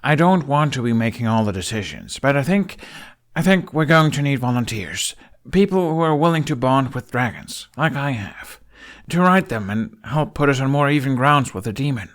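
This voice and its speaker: A man voicing an earnest, irritable young Viking in an audiobook, speaking in a halting, insistent, exasperated way. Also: medium pitch, animated, voice pushed up, lightly acted. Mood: hesitant, pleading. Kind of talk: audiobook character acting.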